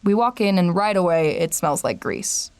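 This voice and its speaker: An actress plays a wary woman on the road in an audio drama. Her voice sits slightly low, and she is dry, sarcastic and skeptical. Faint music runs underneath. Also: animated, moderate pace, a little mellow, lightly acted. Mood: wry, observant. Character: Amber, wary sardonic traveler narrating a strange road trip. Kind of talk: audio drama dialogue and first-person narration. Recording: music bed behind voice.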